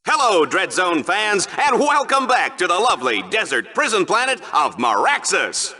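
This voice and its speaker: Hammy arena announcer for a video game, goofy n slick, high voice, super animated, hyped up commentary. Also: male voice, normal speed, full character voice. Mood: excited commentary. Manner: showy, theatrical, hammy. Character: slick, goofy, over-excited male game-show sports announcer.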